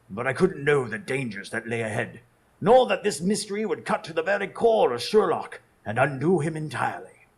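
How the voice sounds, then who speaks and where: A man's voice: fairly high pitch, gravelly, slightly rough, exaggerated voice. A man playing an earnest, easily indignant loyal companion who also narrates a radio drama in formal storytelling style. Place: small theatre stage, recorded live in front of an audience.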